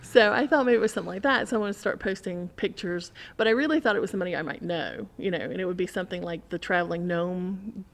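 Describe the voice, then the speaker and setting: A woman's voice: on the low side, fast, vocal fry, few pauses. A woman telling a story in casual conversation as a podcast guest, animatedly acting out what people said.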